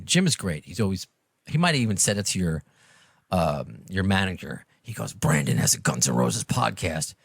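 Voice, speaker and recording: Animated New York man hosting a podcast. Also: sits low, dry recording, clean studio sound. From Long Island and Brooklyn, New York. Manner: rambling, self-deprecating. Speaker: podcast host. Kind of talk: casual interview chat.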